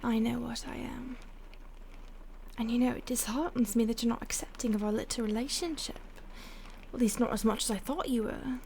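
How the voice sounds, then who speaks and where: Woman acting a breathy, high-pitched seductive vampire. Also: steady tone, normal speed, a little mellow, a little hiss or hum. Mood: smug, scornful. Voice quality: breathy, often dropping to a whisper. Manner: teasing, haughty, theatrical. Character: playful, condescending female vampire stalker, predatory and seductive. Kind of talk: intimate audio roleplay addressed to the listener.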